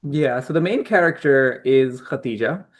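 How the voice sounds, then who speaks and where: A man's voice: medium pitch, expressive, normal speed. Thoughtful middle-aged man from Pakistan. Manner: thoughtful, explanatory, with frequent ums and restarts. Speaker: author guest. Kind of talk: conversational interview answers.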